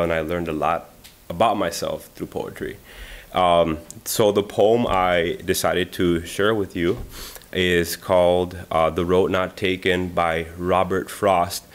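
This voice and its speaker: A man in his thirties, a community member at a library event, talking earnestly about a poem with frequent fillers and then reading it aloud at a lectern. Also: low voice. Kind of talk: personal reflection and poetry reading at a library event. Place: library meeting room. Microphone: lectern microphone.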